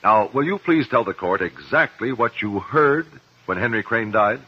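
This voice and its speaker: A man playing a sharp, probing courtroom investigator in 1950s radio drama, formal and insistent. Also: on the low side, lively intonation, normal speed, slightly rough. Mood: measured, formal. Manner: insistent, formal cross-examining. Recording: muffled old radio recording.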